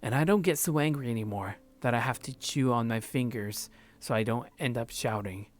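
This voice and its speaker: A man's voice: mid-range voice, normal speed, lightly acted. A man reading first-person visual novel narration as a numb, heartbroken man, subdued and brooding.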